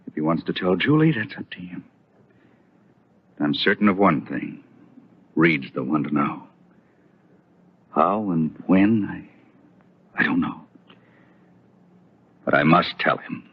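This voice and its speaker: A man's voice: sits low, animated, slow, pauses often, breathy edge, heavily acted. An American man playing an anguished, stubborn doctor in an old-time radio soap opera, heavy and emphatic. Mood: resolute, troubled. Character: anguished, stubborn male doctor, stern and dutiful. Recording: dull, lo-fi vintage radio recording.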